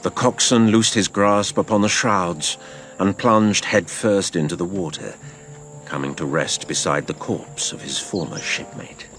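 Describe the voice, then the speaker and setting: Man as deep, gravelly adventure narrator. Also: measured pace, fairly bright tone, soft background music. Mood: gripping, reflective. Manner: theatrical storytelling. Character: grown man recounting his boyhood adventures, vivid and literary. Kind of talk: scripted dramatic narration.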